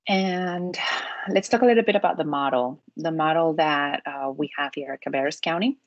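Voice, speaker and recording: A low-pitched woman from Spain whose first language is Spanish explains a school program over a video call. She pauses often with ums and restarts her sentences. The sound is dry and close. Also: dry recording, muffled recording. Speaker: dual language program presenter. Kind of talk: presenting slides on a video call.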